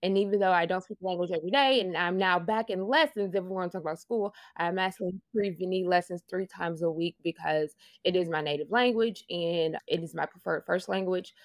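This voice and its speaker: A woman with a Louisiana Southern accent talks in a lowish voice, reflective and earnest, often laughing and starting her phrases over. The recording is close and dry, with no room sound. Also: a little fast, few pauses, no room sound. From Louisiana, USA. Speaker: interview guest, fashion project manager. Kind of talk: casual conversational interview.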